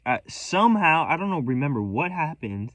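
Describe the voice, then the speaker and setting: A man's voice: fairly high pitch, big pitch swings, moderate pace, fairly bright tone, no room sound. A man telling a rambling story in a vlog, profane and scattered, restarting sentences and commenting on his own spiraling thoughts. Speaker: vlogger.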